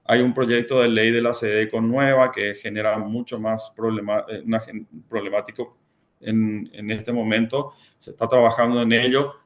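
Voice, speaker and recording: A man's voice: fairly low pitch, little pitch movement, normal speed. A man giving a conference presentation as a panelist, hesitant and full of fillers and repeated words. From Paraguay.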